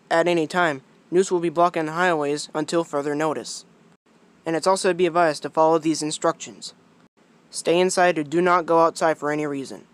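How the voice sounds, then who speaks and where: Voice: mid-range voice, lightly acted. An amateur performer playing a stern police emergency announcer in a scripted mock broadcast.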